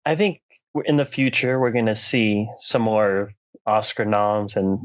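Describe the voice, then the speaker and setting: A man's voice: lowish voice, unhurried, no room sound. A man co-hosting a podcast in casual chat, talking in an informal, opinionated, joking way. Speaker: podcast co-host.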